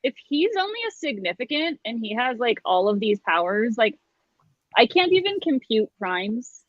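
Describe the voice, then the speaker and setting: A woman's voice: fairly high pitch, very animated, normal speed, no room sound, muffled recording. A woman co-hosting a casual book discussion, with slangy asides and dramatic quoting. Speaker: co-host of a book discussion livestream. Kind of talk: casual chat.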